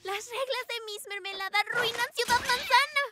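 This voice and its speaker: A woman's voice: very high-pitched, moderate pace. A woman voicing an upbeat, optimistic young girl baker in a children's cartoon dub, perky and eager, with a Latin American Spanish accent. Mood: determined optimism. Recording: music playing behind the voice.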